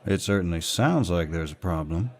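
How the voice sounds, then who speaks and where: A man playing a protective, dominant Southern gentleman in an audio roleplay, speaking politely but firmly with a Southern US drawl. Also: low-pitched, expressive, normal speed. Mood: calm, firm. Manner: polite, drawling, firm, old-fashioned courtesy. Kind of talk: roleplay audio acting, intimate and scripted.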